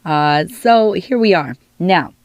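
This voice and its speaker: A woman with a hoarse, worn voice from illness gives a soft-spoken tea leaf reading, musing and reassuring as she speaks straight to the listener. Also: on the low side, lively intonation, takes it slowly, dry recording. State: hoarse, losing her voice from illness.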